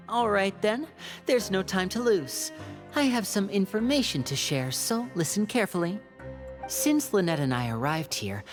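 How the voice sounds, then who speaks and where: A woman voicing a young male magician agent in a video game, polite, composed and calculating, with measured speech. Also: lowish voice. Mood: composed, businesslike. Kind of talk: video game character acting. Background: game music.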